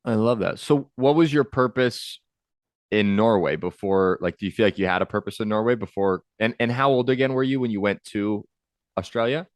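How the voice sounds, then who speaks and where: A man hosting a podcast, talking in an upbeat, energetic and encouraging way. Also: lowish voice, moderate pace, dry recording, clean studio sound. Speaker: podcast host. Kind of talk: podcast intro and interview.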